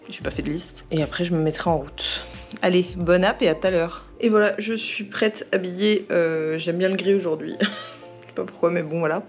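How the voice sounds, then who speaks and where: A hoarse young woman from France chatting casually in a home vlog, with many fillers and little laughs. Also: fairly low pitch, a little mellow. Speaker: vlogger. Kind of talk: casual daily vlog chat to camera.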